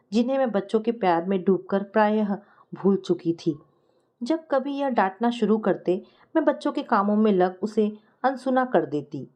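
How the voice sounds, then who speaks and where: A woman narrates a family story in the first person, as a storyteller reading from a script. Her voice sits at a middle pitch for a woman. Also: warm tone.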